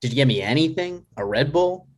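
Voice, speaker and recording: A man's voice: fairly high pitch, animated, brisk, slightly rough. A man playing a dry, exasperated, reluctant adult son in a comedy table read, hesitant and natural in delivery. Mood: disbelieving.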